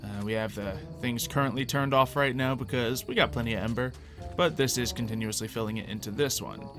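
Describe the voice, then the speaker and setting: A man hosting a gaming video, giving casual commentary as he thinks out loud and explains what he is doing in a conversational way. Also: medium pitch, brisk. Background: background music.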